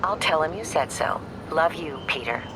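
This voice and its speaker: Aunt May, a gentle caring aunt. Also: female voice, low voice, animated, moderate pace, background noise. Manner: gentle, affectionate.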